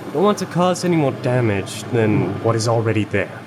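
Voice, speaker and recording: A man acting a firm, by-the-book repairman in an audio drama. The voice sits at medium pitch with lively swings, and machinery noise hums clearly behind it. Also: fairly quick, close to an everyday voice. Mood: firm, lecturing. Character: by-the-book, instructing pipe repair worker.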